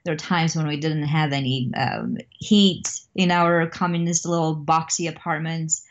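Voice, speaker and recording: A middle-aged woman with a Romanian accent talks as a podcast guest. Her voice sits fairly low, and she speaks thoughtfully, with frequent pauses and fillers. The audio is dull and lo-fi, like a remote connection. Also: steady tone. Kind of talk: podcast interview.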